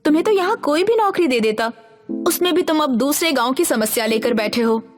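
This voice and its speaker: Female narrator as young cartoon friend: high, supportive, questioning, music softly behind. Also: lively intonation, a little fast. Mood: puzzled. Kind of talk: Hindi animated story voice acting.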